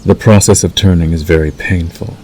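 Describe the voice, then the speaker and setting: A man voicing a possessive werewolf lover in intimate ASMR roleplay, menacing yet tender, coaxing slowly and soothingly. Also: deep voice, fairly even intonation, slight background noise, close to an everyday voice. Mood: teasing, possessive. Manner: slow, soothing, coaxing with dark undertone.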